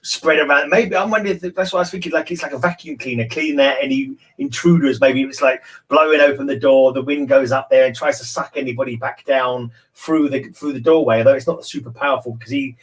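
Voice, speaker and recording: A man's voice: mid-range voice, quick pace, hardly any pauses, poor audio. Man rambling through a casual book discussion. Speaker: discussion panelist.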